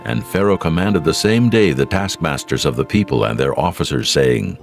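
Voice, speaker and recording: A man narrating scripture from a script in a solemn, measured storytelling manner. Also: low voice, lively intonation, vocal fry, faint music behind.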